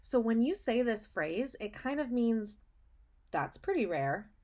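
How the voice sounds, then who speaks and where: A woman's voice: a little high, big pitch swings, warm tone. Woman leading a children's read-aloud lesson. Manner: explanatory, pausing to question the listener, emphatic on key phrases. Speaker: speech and language teacher reading a story aloud.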